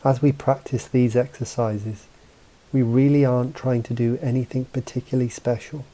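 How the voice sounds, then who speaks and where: A man guiding a mindfulness meditation as a coach, speaking in a calm, measured, instructive way with pauses between phrases. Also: medium pitch, poor audio. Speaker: meditation guide and mental coach. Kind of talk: guided mindfulness meditation narration.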